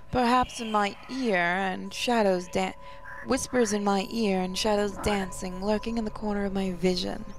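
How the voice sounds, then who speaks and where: A woman gaming host reading a visual novel aloud as expressive first-person story narration. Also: mid-range voice, vocal fry. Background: music.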